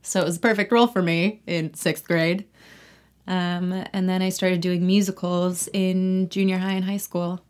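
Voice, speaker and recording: Low, even-voiced young woman in interview. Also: normal speed. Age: young adult. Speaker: interview guest, actor and singer. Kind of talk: casual conversational interview.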